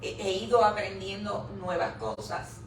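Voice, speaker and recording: A woman's voice: medium pitch, level tone, measured pace. A middle-aged woman, standing to present her research chapter to an audience at a book presentation, explaining it step by step. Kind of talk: academic talk at a book presentation. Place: room at a live presentation event. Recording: echoey room, distant mic, background noise.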